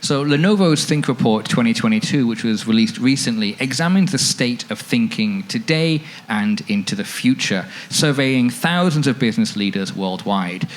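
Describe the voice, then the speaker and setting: A man's voice: mid-range voice, expressive, normal speed, hardly any pauses. A man moderating a panel discussion, asking fluent questions into a handheld mic at an indoor event. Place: indoor event stage with audience. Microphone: handheld microphone.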